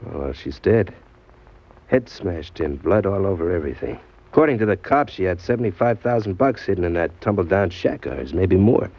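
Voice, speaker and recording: Deep-voiced sly jailbird. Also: male voice, lively intonation, fairly quick. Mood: offhand, knowing. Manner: streetwise slangy tough-guy talk. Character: sly, cocky drifter and jailbird narrating his crime tale. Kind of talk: 1940s-50s radio mystery acting. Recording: dull vintage radio recording with loud music.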